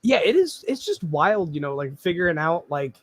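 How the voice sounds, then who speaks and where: A man's voice: on the high side, moderate pace, a loud crowd. Laid-back young-sounding male host. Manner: relaxed, laid-back, lots of "yeah" and "like". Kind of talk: casual chat.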